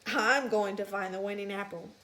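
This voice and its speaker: A woman's voice: medium pitch, moderate pace, fairly bright tone, slight background noise, close to an everyday voice. A woman voicing a stubborn young male field mouse in a storybook, blunt and competitive. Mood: contrary, competitive.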